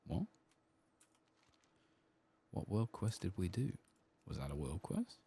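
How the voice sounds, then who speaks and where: Very deep, soft-spoken gravelly man. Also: very animated, slow. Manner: casual, rambling, muttering to himself. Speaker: streamer playing a video game. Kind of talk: soft-spoken and whispered ASMR gaming commentary.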